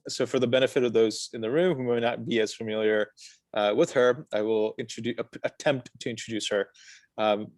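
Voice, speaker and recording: A man's voice: mid-range voice, steady tone, darkish tone, dry recording, faint music behind. Male moderator with a dry, close sound. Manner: halting, stumbles over words. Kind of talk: introducing a guest, then interviewing.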